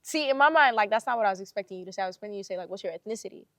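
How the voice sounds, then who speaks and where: Podcast guest: young woman from Sudan, quick and lively storyteller, dry close sound. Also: medium pitch, no echo. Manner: fast, animated storytelling with frequent laughs and quoted dialogue. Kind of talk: casual chat.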